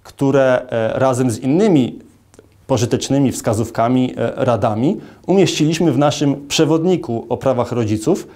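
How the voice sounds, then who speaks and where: A man in his thirties, a lawyer, giving a formal statement to camera in an office, speaking in a measured way with frequent hesitation fillers. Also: medium pitch, dark tone. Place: indoor office with large arched window.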